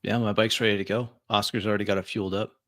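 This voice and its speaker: A man's voice: lowish voice, level tone, rapid-fire, fairly bright tone, no echo, lightly acted. A man playing an aloof, sardonic, refined vampire in a tabletop roleplaying game, speaking with dry disdain.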